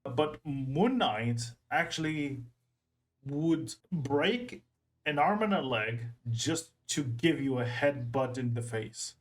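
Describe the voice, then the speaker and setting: A man's voice: medium pitch, expressive, slow pace, rough recording. A man hosting a reaction video in a casual, rambling way, repeating phrases for emphasis.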